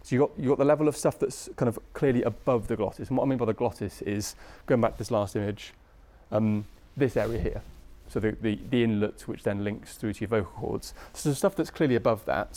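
A man's voice: lowish voice, mellow. A British man teaching medicine in an informal lecture, speaking conversationally with colloquial asides and tag questions. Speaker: medical lecturer, anaesthesia registrar.

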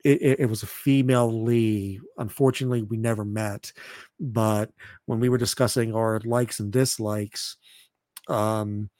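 A man in his thirties chatting casually as a podcast host, halting and often repeating words as he goes. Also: fairly even intonation, darkish tone, dry recording, clean studio sound. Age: 30s. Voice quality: deep voice. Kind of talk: casual chat.

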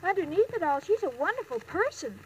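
A woman's voice: extremely high pitch, sing-song, fairly quick, dull audio, slight background noise. An actress playing a naive, scatterbrained, sweet young woman in an old-time radio comedy, earnest and guileless. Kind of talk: 1940s-50s radio comedy acting.

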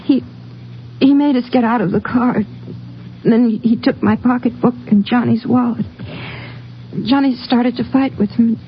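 An actress playing a dazed, grieving young bride-to-be who witnessed a crime, speaking haltingly and pleadingly in 1950s radio drama style. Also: female voice, fairly high pitch, fairly even intonation, close to an everyday voice. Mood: shaken, halting. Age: young adult. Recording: muffled, noisy 1950s radio recording.

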